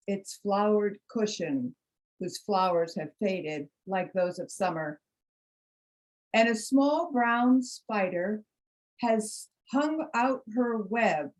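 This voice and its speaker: A woman introducing and reciting poetry for a congregation, with measured, deliberate phrasing. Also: mid-range voice, darkish tone, poor audio. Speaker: poetry reader at a congregation service.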